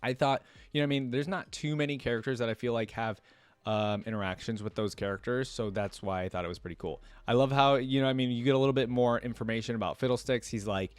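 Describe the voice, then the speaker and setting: Male reaction host, mid-pitched, conversational and rambling, emphatic delivery, very dry close sound. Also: fast talker, clear, resonant tone, no echo. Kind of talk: casual unscripted commentary to viewers.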